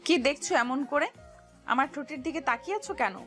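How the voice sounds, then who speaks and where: A woman's voice: high-pitched, a little fast, fairly bright tone, faint music behind. A woman playing a flustered, pouty young fiancée who scolds and protests coyly in a romantic audio story. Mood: coy, pleading.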